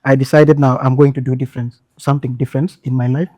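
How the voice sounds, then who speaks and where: A young man reflecting on leaving school for a year to learn digital skills, pausing often with "uh". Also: medium pitch, flat delivery, mellow, dry recording. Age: young adult. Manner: reflective, with many "uh" pauses. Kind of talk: personal account in an interview.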